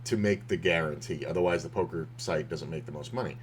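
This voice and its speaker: A man casually talking through poker hands on a stream, rambling with lots of fillers, his voice slightly hoarse. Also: low voice, fairly quick, heavy background noise. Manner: rambling, loose, with many fillers and restarts.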